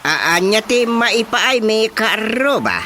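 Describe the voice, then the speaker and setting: A man's voice: high-pitched, very animated, slow, noisy background, exaggerated voice. A man with a Filipino accent playing a folksy, easily muddled village chairman in a comedic radio drama. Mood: bemused.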